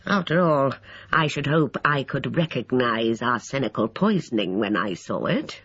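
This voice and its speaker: A male narrator playing an aged, irritable country doctor with a gruff, dismissive manner, on a dull lo-fi recording. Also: mid-range voice, lively intonation, unhurried, a bit bright, dry recording, heavily acted.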